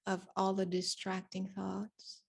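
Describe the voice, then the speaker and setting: A woman guides a meditation at a very unhurried pace. Her voice sits at an average pitch for a woman. She gives instructions in short, deliberate phrases, leaving long pauses between them. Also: completely flat delivery, gravelly, a little mellow, husky.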